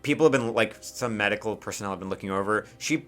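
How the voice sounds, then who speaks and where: A man casually improvising narration as a game master, informal and halting, with frequent asides. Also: lowish voice, fairly bright tone, soft background music.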